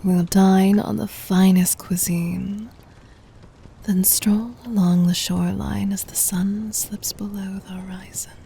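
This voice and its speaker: A woman playing a gentle, doting demon queen in an ASMR roleplay, in a soft breathy whisper with tender, formal, intimate delivery. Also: mid-range voice, flat delivery, slow, slight background noise. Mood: fond, relaxed.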